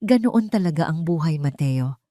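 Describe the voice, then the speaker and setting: A woman's voice: deep voice, expressive, normal speed, dark tone, dry recording, studio quality. A woman voicing a scheming, gold-digging young mistress in an audio love drama, taunting and boastful.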